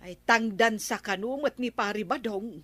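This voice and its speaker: A woman's voice: a little high, normal speed, a bit bright, dry recording. An actress with an Ilocano accent playing a scolding, emphatic village woman in a radio comedy drama. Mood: disapproving.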